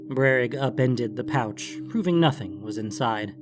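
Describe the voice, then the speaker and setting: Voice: medium pitch, lively intonation, a little slow, music in the background. A narrator reading a scripted fantasy story for an audiobook.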